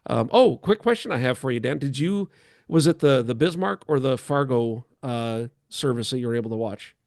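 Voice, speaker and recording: A man with a mid-range voice hosts a casual, unscripted chat. He talks in a halting way with frequent pauses. The sound is close and dry, with hardly any room in it. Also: medium pitch, animated, a little mellow, no room sound. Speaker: host of a church chat show.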